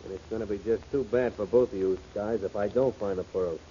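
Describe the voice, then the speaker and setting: A man's voice: on the low side, fairly quick, mellow, muffled recording, lightly acted. An actor playing an impatient, threatening male gangster in a 1940s radio drama, speaking curtly on a noisy old recording. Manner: curt, threatening. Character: menacing, impatient male gangster holding a gun.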